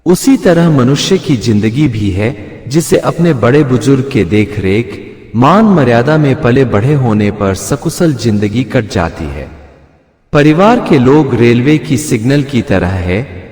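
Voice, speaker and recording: A man's voice: mid-range voice, animated, measured pace, a little mellow. A man narrating a scripted moral bedtime story in an earnest, preachy manner. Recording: echoey hall-like reverb.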